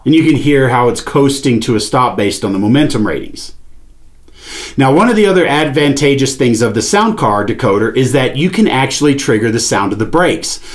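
A man's voice: mid-range voice, expressive, a little fast. A man in his forties giving an instructional product demonstration, explaining things step by step. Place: small indoor studio with padded panel wall, seated at a table.

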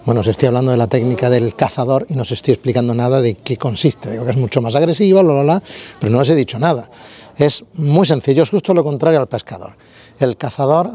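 A man's voice: mid-range voice, mellow. A man giving a casual photography lesson to viewers while standing on a city street, speaking directly to the camera and talking his way through his ideas. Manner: explanatory, addresses viewers directly, frequent "vale" and fillers. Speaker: photography vlogger. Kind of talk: casual instructional vlog on location.

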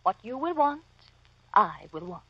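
An actress playing an officious, self-important office supervisor with formal, speech-making delivery in a vintage radio comedy. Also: female voice, mid-range voice, big pitch swings, measured pace, breathy edge, no room sound, full character voice. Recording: muffled, lo-fi old radio recording.

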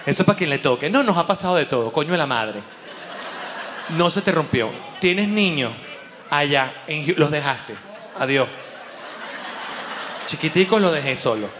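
A Venezuelan man doing animated, colloquial stand-up and audience banter on stage into a handheld mic, cursing freely. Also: fairly high pitch, normal speed, pauses often. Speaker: stand-up comedian and show host. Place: on stage in a dark theater with audience. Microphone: handheld microphone. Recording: echoey theater hall, audible background noise.

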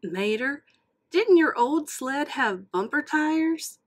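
A woman's voice: sits high, animated, slow pace, voice pushed up. A woman voicing an earnest, friendly young male race car in a storybook read-aloud. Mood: warm.

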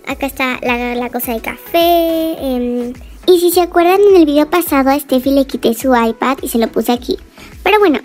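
A woman's voice: sits high, darkish tone. A woman giving a chatty, gushing voice-over tour of a game house, full of little fillers.

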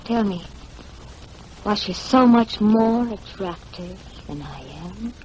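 A woman's voice: mid-range voice, expressive, slow pace, darkish tone, muffled recording. A woman acting a seductive, probing society wife in a 1940s radio drama, poised and flirtatious. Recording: faintly noisy 1940s radio recording.

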